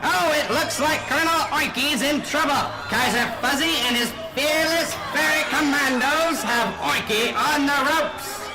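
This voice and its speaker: A man as very high-voiced excited fight announcer. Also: measured pace, hardly any pauses. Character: excited fight announcer at an underground animal ring. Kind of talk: sports commentary. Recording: echoey announcer over loud crowd and music.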